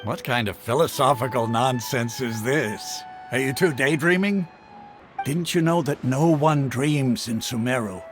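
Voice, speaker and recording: An actor playing a plain-spoken, casual market produce vendor in a video game cutscene. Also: male voice, medium pitch, unhurried, faint music behind, close to an everyday voice. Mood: dismissive. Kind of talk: English game dub cutscene dialogue.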